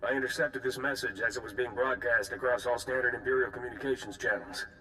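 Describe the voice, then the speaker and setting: A man playing a sharp-voiced comms officer. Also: mid-range voice, steady tone, fast, far from the mic, a little hiss or hum, close to an everyday voice, poor audio. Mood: reporting. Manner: clipped radio reports. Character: male Republic comms officer, quick and wry.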